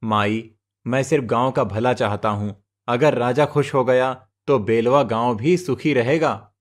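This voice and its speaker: A man voicing a humble, kind-hearted young village herdsman in a moral cartoon story, earnest and gentle. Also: mid-range voice, unhurried, lightly acted.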